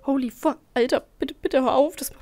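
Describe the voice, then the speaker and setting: A woman's voice: high-pitched, steady tone, brisk, mellow, slight background noise. A young woman hosting a Q&A as a VTuber, reading out fan questions and answering with playful sarcasm and jokes. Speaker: VTuber host.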